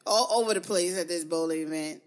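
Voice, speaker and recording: Hoarse young woman hosting a podcast. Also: lowish voice, a little fast. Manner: laughs while telling stories. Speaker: podcast host. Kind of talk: casual chat.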